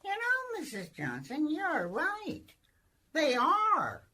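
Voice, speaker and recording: A feisty woman lodger in a radio comedy. Her voice is forceful and very animated, with a wry, blunt, sardonic delivery. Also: sits high, slow, slight background noise, exaggerated voice. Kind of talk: sitcom-style radio comedy acting.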